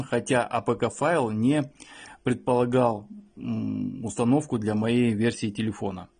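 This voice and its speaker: A man's voice: mid-range voice, mellow, breathy edge. A man giving an informal product review and tutorial, explaining things in a matter-of-fact way. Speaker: phone reviewer.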